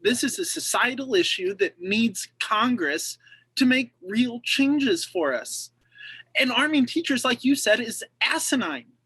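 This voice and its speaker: A man's voice: very high voice, expressive, a little slow, pushed and bright, breathy edge, no room sound, poor audio. A man who works as a teacher, speaking bluntly and heatedly in a group discussion. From Nebraska, US.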